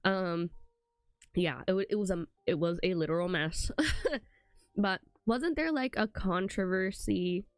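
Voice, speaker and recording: A woman's voice: on the low side, a little slow, smooth, dry recording, clean studio sound. A young woman with a congested voice chatting casually to her live stream, rambling with lots of "like" and "um" fillers. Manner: rambling, full of "like" and "um".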